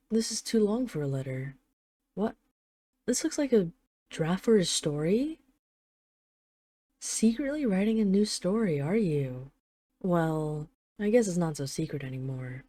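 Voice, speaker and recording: A woman's voice: deep voice, mellow, no echo. A woman playing a possessive dragon queen in an intimate roleplay audio drama, teasing, condescending and commanding. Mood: playful, curious.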